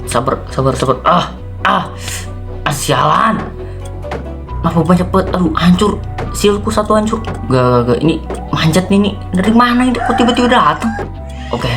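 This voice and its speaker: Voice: medium pitch, natural voice. Man acting a jumpy zombie survivor over music. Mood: panicked, straining. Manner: muttering, exclaiming, casual slang. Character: weary, jumpy young male zombie apocalypse survivor. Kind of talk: improvised Minecraft roleplay, talking to himself. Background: background music.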